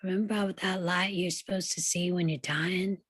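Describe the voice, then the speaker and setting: A woman playing a dying, resigned young cowboy in a western table read, speaking quietly and weakly, almost like a child. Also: fairly low pitch, fairly even intonation, a little fast, slightly rough, dry recording. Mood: fading, resigned.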